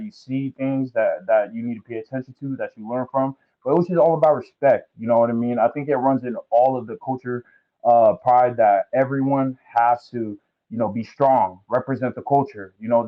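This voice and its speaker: A young man from American Samoa, a football recruit, talking in a laid-back way and using many fillers in an interview. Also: medium pitch. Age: young adult. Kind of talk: casual interview. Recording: tinny phone-like line.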